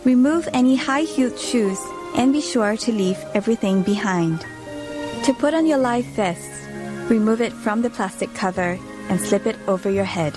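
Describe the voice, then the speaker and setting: A woman reading scripted safety instructions in a measured, instructional way. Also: on the high side, expressive. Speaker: airline safety video narrator. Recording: loud music under the voice. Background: music.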